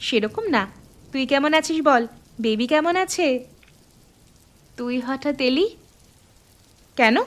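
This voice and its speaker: A woman's voice: high voice, expressive, takes it slowly, pauses often, background noise. A woman voicing a caring young village woman chatting familiarly with a close friend in a cartoon. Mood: friendly concern. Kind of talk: cartoon dialogue.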